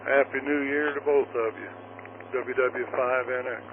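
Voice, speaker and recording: A man's voice: mid-range voice, fairly even intonation, moderate pace. A man giving brief call-sign announcements as an amateur operator over a two-way radio. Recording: noisy, narrow-band mobile radio signal.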